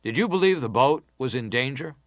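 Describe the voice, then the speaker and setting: A man's voice: mid-range voice, animated, no room sound, natural voice. Persistent male senator interrogating, played by an actor, dry sound. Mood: probing, insistent. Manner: deliberate, formal, pressing questions. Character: probing, persistent senator questioning a witness. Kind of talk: dramatised reading of hearing interrogation.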